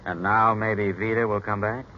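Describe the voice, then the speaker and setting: An actor playing a gentle, hesitant, loyal ex-husband, soft-spoken and halting, in a 1940s radio drama. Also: male voice, fairly low pitch, steady tone, lightly acted. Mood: tender. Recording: dull, noisy 1940s radio recording.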